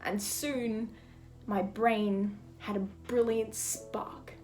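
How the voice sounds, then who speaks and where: A woman's voice: mid-range voice, fairly even intonation, extremely slow, a little mellow. A woman performing slam poetry in a rhythmic, theatrical storytelling delivery. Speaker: slam poet. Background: music.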